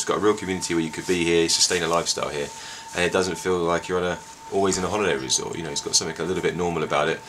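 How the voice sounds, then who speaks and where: A man's voice: deep voice, fairly bright tone, slight background noise, poor audio. A man in his forties, a ski school owner, talking casually outside a chalet in an interview, with lots of fillers and restarts. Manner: chatty, with frequent fillers and restarts. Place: outdoors by a wooden chalet in snow.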